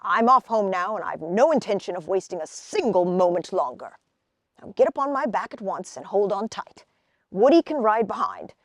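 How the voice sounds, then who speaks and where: A woman's voice: mid-range voice, very animated, moderate pace, no echo, clean studio sound. A woman voicing a cross, bossy male squirrel in a storybook, scolding and commanding in a brusque way. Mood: brisk, commanding. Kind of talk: storybook read-aloud.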